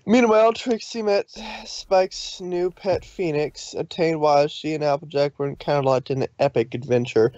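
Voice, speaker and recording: A man's voice: on the high side, unhurried, darkish tone, no echo, highs cut off. A man narrating a fan fiction story from a script.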